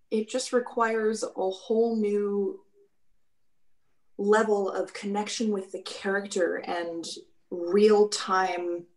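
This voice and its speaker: Woman in an echoey room, chatting. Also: mid-range voice. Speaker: actor. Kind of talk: casual conversation on a panel. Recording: roomy, echoey video call.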